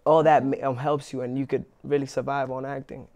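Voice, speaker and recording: A young man, an actor being interviewed, talking casually with lots of restarts and fillers. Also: medium pitch. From New York, Inwood. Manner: relaxed, halting with many restarts and fillers.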